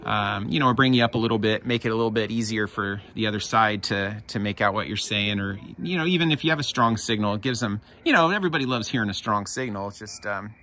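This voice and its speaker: An American man chatting casually as a ham radio operator and video host, halting and informal. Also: lowish voice, pushed and bright. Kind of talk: casual ham radio chat and vlog narration. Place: covered backyard patio, outdoors. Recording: faint outdoor background noise.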